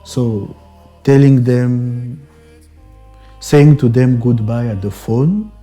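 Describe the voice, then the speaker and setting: A man's voice: mid-range voice, takes it slowly, mellow. A young man with a Congolese accent giving a reflective interview, hesitant and searching for words, often repeating phrases. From Democratic Republic of the Congo. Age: young adult. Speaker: Benedictine monastic brother, interviewee. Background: background music.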